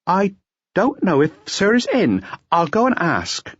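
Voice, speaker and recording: Man putting on a high-voiced, hesitant maid. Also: wildly swooping pitch, a little slow, fairly bright tone, slightly rough, no echo, highs cut off, heavily acted. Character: young maid, hesitant and curt, in a detective audiobook.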